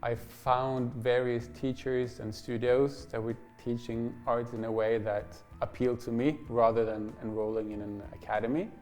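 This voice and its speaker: Soft-spoken man trailing off quietly. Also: mid-range voice, level tone, moderate pace, few pauses. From Norway. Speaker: painter. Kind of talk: interview monologue. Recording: faint music behind.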